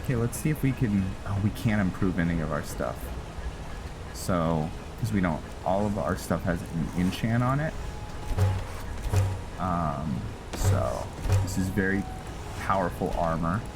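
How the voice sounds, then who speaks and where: Male gameplay host, very unhurried and deep, casual, halting as he thinks aloud. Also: lively intonation. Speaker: host of a game playthrough. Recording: music behind the voice. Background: game music.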